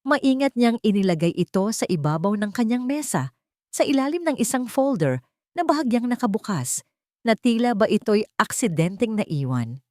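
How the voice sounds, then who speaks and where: A woman's voice: a little high, very animated, normal speed, a little mellow, no echo. Dry-voiced woman narrating drama. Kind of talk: scripted dramatic story narration.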